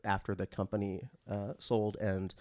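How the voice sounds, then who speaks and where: A man's voice: on the low side, little pitch movement, normal speed, dark tone, no echo. A man co-hosting a comedic podcast in casual chat, telling stories in a campy, wry way. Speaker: podcast co-host.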